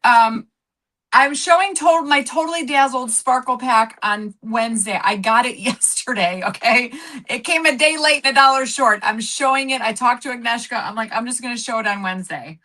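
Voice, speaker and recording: A woman in her fifties with a bright, forceful voice pitched a little high. She chats casually and exclaims often as co-host of a craft live stream, restarting her sentences as she goes. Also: moderate pace. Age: 50s. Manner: chatty and exclamatory, with frequent restarts.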